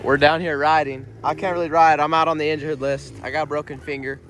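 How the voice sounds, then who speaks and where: A man's voice: fairly high pitch, level tone, fast, a bit bright, faint music behind. A young man giving casual vlog commentary as host of an amateur motocross video, outdoors. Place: outdoors at a dirt riding trail.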